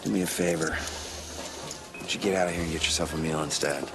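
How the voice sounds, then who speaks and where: A gruff but kind loner at a bar in a sci-fi film. He is terse and understated, very deep, with music behind. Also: fairly even intonation, a little fast. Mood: subdued. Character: gruff but generous man drinking at a bar. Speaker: bar customer. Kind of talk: casual film dialogue.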